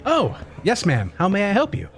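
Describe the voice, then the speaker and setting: Man acting a high-voiced, awkward young desk clerk. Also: wildly swooping pitch, a bit bright, soft background music, exaggerated voice. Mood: flustered, polite. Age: young adult. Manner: halting, stammering, polite. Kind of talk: audio drama acting.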